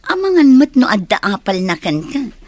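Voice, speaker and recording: A woman portrays a chatty schoolteacher in an audio drama, recorded close and dry. Her pitch is medium, and she comes across as a friendly, loyal colleague. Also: big pitch swings, normal speed, darkish tone, dry recording. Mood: concerned. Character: Ma'am Lina, friendly, loyal female teacher, chatty colleague. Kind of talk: Ilocano audio drama acting.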